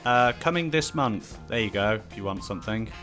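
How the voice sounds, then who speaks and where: A man's voice: lowish voice, expressive, fairly quick, fairly bright tone, soft background music. A man hosting a casual Q&A with friends, reading out viewer questions in a dry, teasing way.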